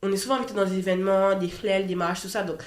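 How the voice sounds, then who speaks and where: A young woman hosts a relaxed podcast chat. Her voice sits at an average female pitch, and she talks in a slangy, emphatic way. The room around her adds clear echo. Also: racing. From Guadeloupe. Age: young adult. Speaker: podcast host. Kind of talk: casual chat. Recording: echoey room.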